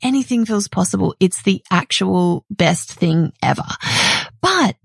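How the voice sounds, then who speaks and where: Chatty woman podcaster, loud voice. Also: mid-range voice, unhurried, gravelly, slightly rough, no room sound, studio quality. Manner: animated, laughing, conversational. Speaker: podcast host. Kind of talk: solo podcast monologue.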